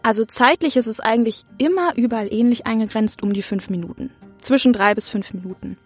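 A woman's voice: on the high side, brisk, slightly rough, soft background music. A young adult woman giving casual, informal and anecdotal answers as an interview guest. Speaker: interview guest, acting student.

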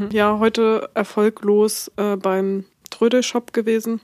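A woman co-hosts a podcast in easygoing casual chat and laughs easily. Her voice sits at a middle pitch and sounds close and dry, with no room around it. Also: slow, no room sound. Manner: relaxed, easygoing, laughs often. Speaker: podcast co-host. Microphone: remote recording, separate from co-host.

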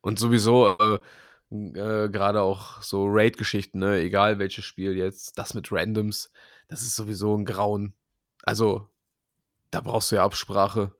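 A man's voice: on the low side, little pitch movement, unhurried, fairly bright tone, dry recording, clean studio sound. A man in a casual chat about video games, colloquial and mixing in English gaming slang as a co-host.

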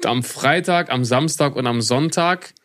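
A man in his thirties hosting a podcast, talking through his thoughts casually and pausing on fillers. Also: medium pitch, fairly bright tone. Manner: reflective, hesitant, with many fillers. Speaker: podcast host. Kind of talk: casual chat.

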